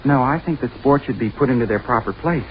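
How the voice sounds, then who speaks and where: A man's voice: medium pitch, close to an everyday voice. Casting: male actor as bookish young misfit, polite yet intense, hesitant phrasing, dull hissy radio drama sound. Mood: polite, nervous. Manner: polite, halting, repeats himself. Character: earnest, awkward, intense young male college bookworm. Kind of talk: 1930s radio drama acting. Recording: noisy, muffled 1930s radio recording.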